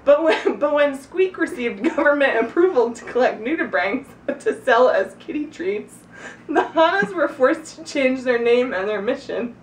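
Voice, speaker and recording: A woman with a fairly high, very lively voice reads a funny story aloud to friends. She is giggly and informal, tripping over words and breaking off to comment. The recording is echoey and sounds somewhat far from the mic. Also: moderate pace. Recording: echoey room, distant mic, background noise.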